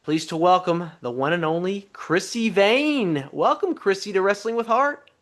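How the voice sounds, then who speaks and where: A man's voice: sits high, animated, moderate pace, fairly bright tone. A man hosting a podcast interview, upbeat and welcoming. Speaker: podcast host.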